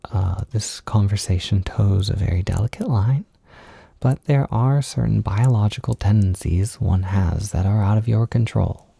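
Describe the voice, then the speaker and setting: Low, very mellow male voice, gently reassuring. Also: gravelly, breathy edge, no room sound. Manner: hesitant, halting, self-deprecating. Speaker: audio creator giving comfort and validation. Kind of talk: intimate, informal comfort monologue.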